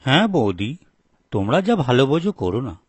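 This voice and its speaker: A man's voice: medium pitch, big pitch swings, fairly quick, breathy edge, no room sound. A man playing a scheming, self-interested married elder brother in a folk tale, with a sly, persuasive manner. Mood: agreeable. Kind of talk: acted dialogue for a story video.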